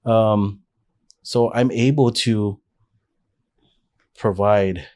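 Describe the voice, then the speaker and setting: A man's voice: lowish voice, fairly even intonation, drawn-out words, darkish tone. A man in his forties, a podcast guest, telling his life story in an earnest, rambling way with frequent restarts. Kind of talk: casual life-story interview.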